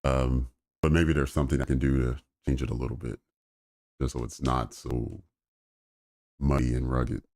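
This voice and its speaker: A man with a very deep voice streaming live, talking through trades in a relaxed way with fillers. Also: normal speed, pauses often, dry recording, clean studio sound. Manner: relaxed, thinking aloud with fillers. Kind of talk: live trading commentary.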